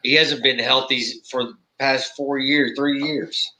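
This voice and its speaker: A man's voice: mid-range voice, level tone, unhurried, bright, forceful tone, rough recording. A forty-year-old man co-hosting a casual podcast, blunt and casually profane. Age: 40s. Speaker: podcast co-host. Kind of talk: casual chat.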